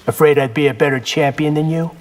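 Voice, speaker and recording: An actor voicing a confident young warrior in a fighting video game, cocky, wry and determined. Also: male voice, on the high side, steady tone, fast talker, in a large hall, close to an everyday voice. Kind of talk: video game character acting.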